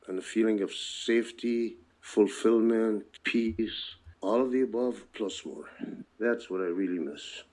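An older man from Palestine who moved to the US as a child, speaking earnestly and reflectively in a personal oral history interview, with deliberate phrasing and emphatic repetition. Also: on the low side, dark tone, poor audio. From born in Palestine, moved to the US as a child.

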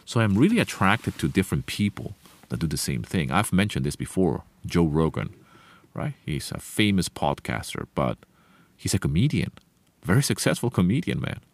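A man with a very low voice, a native Spanish speaker, hosts a solo motivational podcast. He talks earnestly and conversationally, often restarting his sentences and adding "you know.". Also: sing-song, moderate pace, no echo. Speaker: podcast host.

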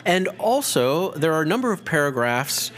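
A man's voice: fairly high pitch, sing-song, moderate pace, fairly bright tone. A man hosting an educational history programme, explaining in a prepared but conversational way with small clarifying asides. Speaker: host, church historian.

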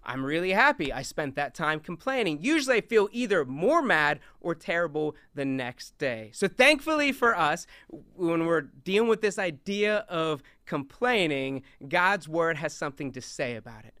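A young man with a big, loud voice preaches from a stage, animated and always in motion. His tone is bright and crisp, slightly higher than most men's. The sound is dry and close. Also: moderate pace, no room sound. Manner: animated, talks with hands, moves around on stage. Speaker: youth speaker. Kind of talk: lively stage talk on scripture.

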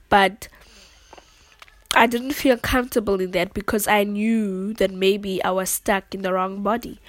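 A young woman from South Africa tells her own story in an earnest, rambling way, often turning to ask whether you follow her. Her voice sits at an ordinary middle pitch. The sound is dry and close, with no room echo. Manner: earnest, rambling, with frequent "you know what I'm saying" asides. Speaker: vlogger. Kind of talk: personal storytelling to camera.

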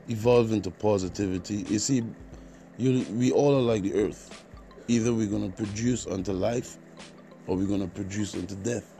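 A man with a Caribbean accent and a fairly low, very mellow voice hosts a solo podcast. He talks informally but earnestly, with a preacher's habit of repeating points. Faint music plays behind him. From Trinidad and Tobago, partly Jamaica. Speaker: podcast host.

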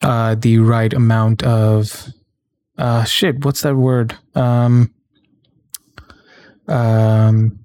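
A man talks casually as a solo podcast host, close to the mic with a dry sound. His voice is soft-edged and mellow at a middling pitch. He rambles, often stammering and restarting as he hunts for the right word. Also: level tone, very slow, pauses often, no room sound. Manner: rambling, stammering, searching for words. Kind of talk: casual solo podcast chat, episode sign-off. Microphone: close studio mic on a stand.

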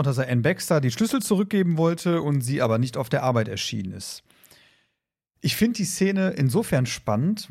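A man co-hosting a podcast, casually discussing in a rambling way, repeating words and slipping into short acted quotes. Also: a little high. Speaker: podcast co-host. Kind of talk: casual discussion of an audio drama.

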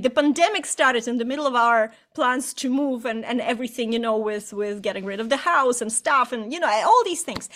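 High-voiced woman from Estonia, chatty. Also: a bit bright. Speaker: podcast co-host. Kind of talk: casual chat.

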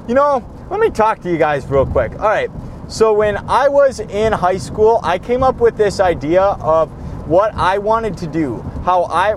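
A man's voice: high-pitched, a little hiss or hum. A tired young man hosting a rambling, profane solo podcast from his car, with many restarts and a voice he says he is losing. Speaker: podcast host. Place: car on the road.

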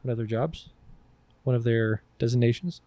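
A man's voice: medium pitch, normal speed, warm tone, no room sound. A man hosting a podcast quiz in a casual, bantering style. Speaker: podcast host running a quiz.

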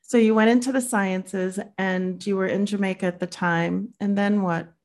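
Woman interviewer with a gravelly edge, encouraging, lots of "mm-hmm". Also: medium pitch, fairly even intonation, moderate pace, a little mellow. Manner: encouraging, frequent backchannel. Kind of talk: conversational interview.

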